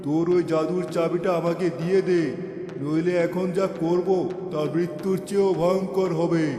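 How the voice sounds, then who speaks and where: A man's voice: high voice, level tone, moderate pace, warm tone, lightly acted. A man voicing a cruel, gloating cartoon wizard who taunts theatrically as he lays curses. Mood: gloating, threatening. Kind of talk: cartoon fairy-tale villain acting. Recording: echoey hall reverb, music behind.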